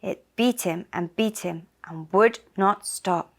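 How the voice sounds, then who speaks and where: Slow-paced woman telling a children's story. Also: mid-range voice, gravelly. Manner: simple, deliberate telling for small children. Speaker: puppet show storyteller. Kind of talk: scripted children's story narration.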